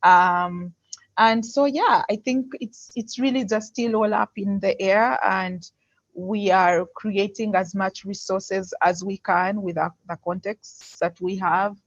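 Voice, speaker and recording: A woman's voice: mid-range voice, steady tone, takes it slowly. A woman giving a candid answer as a guest speaker on an online call, speaking in a hesitant, thoughtful way. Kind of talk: candid spoken answer in an online question session. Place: indoors on an online video call. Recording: muffled, roomy online call audio.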